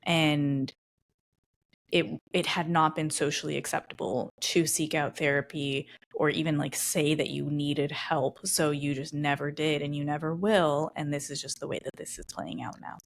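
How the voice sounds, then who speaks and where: A woman's voice: low-pitched, flat delivery, normal speed, vocal fry, slight background noise. A woman hosting a podcast interview, reflecting aloud and thinking through ideas with frequent restarts. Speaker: podcast host. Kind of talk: conversational interview.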